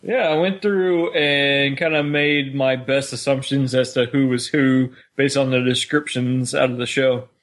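A man's voice: medium pitch, steady tone, a little slow, hardly any pauses, fairly bright tone. A man co-hosting a podcast, chatting casually in a laid-back, wry way. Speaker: podcast co-host. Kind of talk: casual chat.

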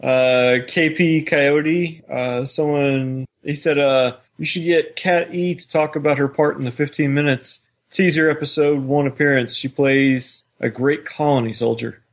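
A man's voice: fairly high pitch, fairly even intonation, measured pace, few pauses. A man talking casually as a podcast co-host, with an informal, easygoing manner.

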